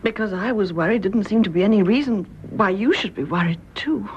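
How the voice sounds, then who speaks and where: An actress playing an anguished young British wife in a radio drama, speaking in a hesitant, hushed way. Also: female voice, mid-range voice, fairly quick, natural voice. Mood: subdued, anxious. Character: anguished, secretive young wife, gently well-bred. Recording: dull, noisy radio drama recording.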